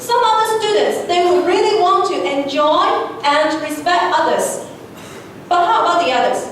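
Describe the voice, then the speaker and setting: A woman's voice: extremely high pitch, normal speed. A woman teacher sternly addressing students at a school assembly, scolding them with pointed questions. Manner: stern, admonishing, rhetorical questions. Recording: very echoey hall, distant, noisy.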